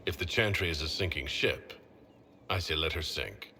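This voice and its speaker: A man playing a measured, diplomatic leader in a video game cutscene, calm and firm. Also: a bass voice, full character voice. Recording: faint ambient noise.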